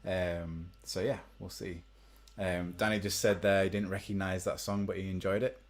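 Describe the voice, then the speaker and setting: A young man with a deep voice, chatting casually to live-stream viewers between songs, rambling with many ums. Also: level tone, moderate pace. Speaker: musician hosting a live stream. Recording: faint guitar behind.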